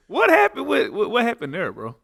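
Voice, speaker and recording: A man's voice: extremely high pitch, creaky, a bit bright, husky. A man hosting a casual interview, asking questions in informal, slangy banter.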